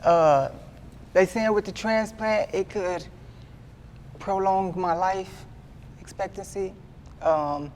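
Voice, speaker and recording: A man in his twenties giving a candid sit-down interview, earnest and halting, often repeating himself as he restarts. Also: high voice, a little mellow, a little hiss or hum. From Midwestern US. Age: 20s. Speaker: guest artist.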